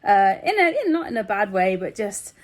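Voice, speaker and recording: A woman's voice: medium pitch, sing-song, moderate pace. A woman vlogger talking in a casual, rambling, chatty and giggly way, like a personal video diary. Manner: chatty, meandering, often giggly.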